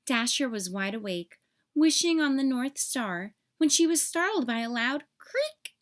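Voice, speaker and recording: A woman with a fairly high voice narrates a storybook for young children. She reads expressively, in the manner of a read-aloud storyteller. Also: sing-song, a little slow.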